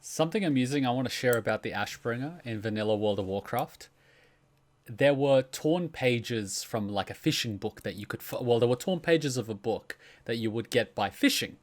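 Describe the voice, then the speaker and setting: Man chatting casually about games, mid-pitched. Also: lively intonation, moderate pace. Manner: informal, profane, explanatory.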